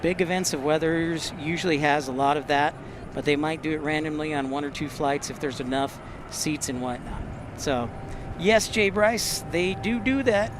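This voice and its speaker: Male livestreamer from Texas, higher-pitched, chatty and halting, recorded outdoors amid noticeable vehicle noise. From Texas, United States. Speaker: livestream host. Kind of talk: casual live commentary, reading and answering chat. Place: outdoors near an airport.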